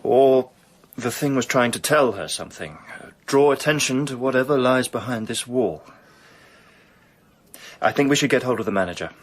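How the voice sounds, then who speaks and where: Male actor as Victorian gentleman sleuth, husky mid-range voice, measured, inquisitive, radio drama. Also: medium pitch, animated, natural voice. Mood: thoughtful, probing. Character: reasoning, level-headed Victorian gentleman sleuth. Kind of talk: radio play acting.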